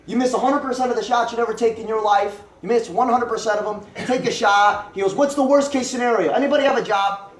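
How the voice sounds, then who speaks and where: A young man in his twenties, high-voiced, delivers a joking, repetitive motivational pitch to a crowded living room. He is picked up from across the room, so his voice sounds distant and roomy. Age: 20s. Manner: joking, fast and repetitive pep talk. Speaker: network marketing presenter. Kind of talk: motivational talk to a home meeting. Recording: echoey room, voice from far off.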